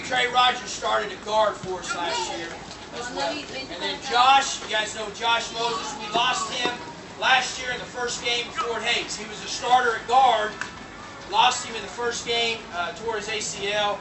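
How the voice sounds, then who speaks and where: A man's voice: very high-pitched, fairly even intonation, measured pace, noisy background. Upbeat man announcing from far off. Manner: casual, upbeat, loose with fillers. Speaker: football coach introducing players. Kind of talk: informal team introductions to a crowd. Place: outdoor sports event. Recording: echoey, distant mic with loud music.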